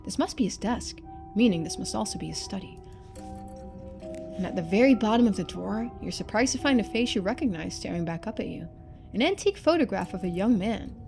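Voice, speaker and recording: Lively woman narrating a video game. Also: medium pitch, gravelly. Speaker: streamer playing and voicing a narrative game. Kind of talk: expressive scripted narration of a game, with casual asides.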